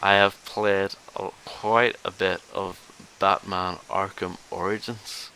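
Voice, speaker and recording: A man's voice: low voice, little pitch movement, slow pace, vocal fry, pushed and bright, rough recording. A man who hosts a podcast, chatting casually about video games and debating in a hesitant, defensive way. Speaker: podcast host. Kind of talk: casual video game review chat. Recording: hissy background noise.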